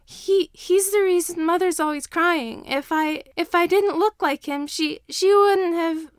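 A woman voicing a traumatized young boy in an audiobook-style story, tearful, vehement and halting. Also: extremely high pitch, no echo, studio quality, pitched above the performer's own voice. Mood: bitter, tearful. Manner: halting, stammering, crying out.

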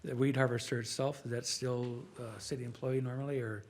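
Mid-pitched man in a meeting. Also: little pitch movement, brisk. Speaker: council meeting participant asking questions. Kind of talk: formal meeting discussion.

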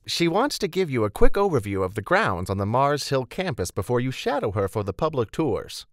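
Man voicing a chatty, motherly woman, pitched high. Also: big pitch swings, dry recording, clean studio sound, natural voice. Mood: bustling, welcoming. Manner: talkative, welcoming, brisk. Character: chatty, motherly, bustling woman museum staffer. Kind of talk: audiobook character dialogue.

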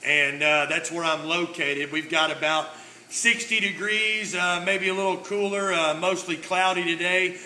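Man, very bright voice, folksy radio operator chat, faint background noise. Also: high-pitched, fairly even intonation, unhurried. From United States. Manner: folksy, repeats phrases, radio jargon. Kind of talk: casual two-way radio chat. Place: indoor radio desk, filmed up close. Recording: noisy room beside radio set.

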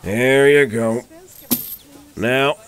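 A man as a grumpy, slow-talking old town trader. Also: medium pitch, fairly bright tone. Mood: grumpy, dismissive. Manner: gruff, folksy, grumbling. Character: grumpy, rude older male town trader. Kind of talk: audio roleplay acting.

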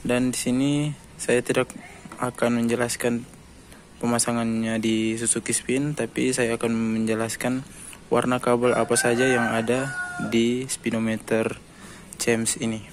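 A man hosting a casual motorcycle how-to vlog, explaining things slowly step by step. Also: medium pitch, slight background noise. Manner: slow, flat step-by-step explaining. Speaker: motovlog host. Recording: music bed underneath.

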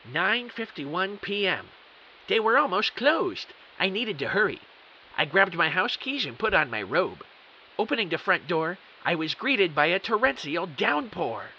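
A man's voice: high voice, very animated, unhurried, pushed and bright, heavily acted. A man playing a bewildered computer technician who narrates his own mystery audio drama with earnest, melodramatic emphasis. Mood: dramatic, intrigued. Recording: dull, hissy audio.

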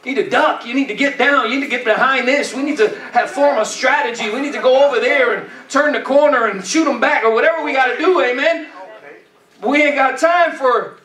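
High-pitched, exhorting male preacher in echoey church. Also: brisk, sharp tone. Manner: exhorting, punctuated with "amen?"; colloquial, repetitive phrasing for emphasis. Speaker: pastor preaching. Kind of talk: sermon. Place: church stage, indoors. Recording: echoey church, distant camera pickup.

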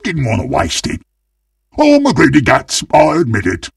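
A man voicing a greedy, gleeful, monstrous undead butcher in a video game, with a gravelly, husky British-accented voice and a menacing, theatrical manner. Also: fairly high pitch, wildly swooping pitch, normal speed, no room sound, studio quality, far from the mic, full character voice. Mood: excited, triumphant. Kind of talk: video game character voice lines.

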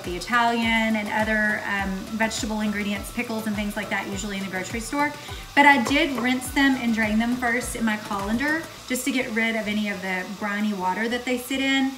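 A woman talks casually through a recipe, explaining each step as she works. Her voice sits a little high and rings in the room of a home kitchen. Music plays clearly behind her. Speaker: host of a cooking show. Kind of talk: casual instructional cooking demonstration. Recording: echoey kitchen, far from mic, music bed.

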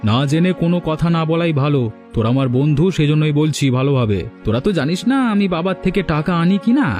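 A man's voice: fairly high pitch, expressive, fast, a little mellow, soft background music, lightly acted. A man voicing a boastful, arrogant young male college student in a cartoon. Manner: arrogant, condescending.

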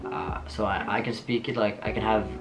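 A young man from Norway with a Norwegian accent, chatting casually to camera in a small home studio, laughing often and pausing with ums. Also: on the low side. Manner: relaxed, giggly, lots of ums and restarts. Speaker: vlogger. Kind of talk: casual get-to-know-me chat. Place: small bedroom studio with shelves and posters. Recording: soft guitar music underneath.

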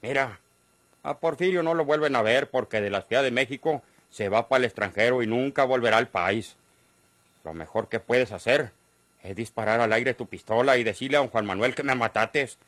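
A man's voice: medium pitch, normal speed, a bit bright, no echo, close to an everyday voice. An actor playing a shrewd, cornered ranch man in a Mexican radio drama, middle-aged, persuasive and defiant, with a rural Mexican accent. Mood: defiant persuading. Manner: earnest, persuasive, rustic speech.

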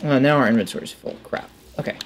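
A man in his thirties talks casually through a video game at a middling pitch. His delivery is lively and varied, as if thinking aloud to himself. Faint music plays behind him. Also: fast talker, vocal fry. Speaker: gaming video host. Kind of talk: casual let's play commentary, reading game text aloud.

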